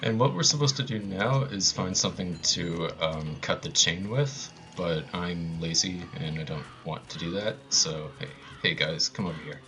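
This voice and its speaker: A man's voice: low voice, moderate pace, few pauses, sharp tone, faint music behind. A man with an American accent giving casual, laid-back live commentary as he plays a speedrun.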